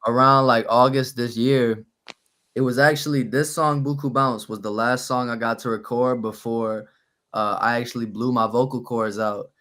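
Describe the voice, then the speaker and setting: A young man from New Orleans with a raspy voice, a rapper telling stories as a guest on a podcast in an easy, relaxed way. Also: medium pitch, normal speed. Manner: relaxed storytelling with fillers. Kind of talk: casual podcast interview.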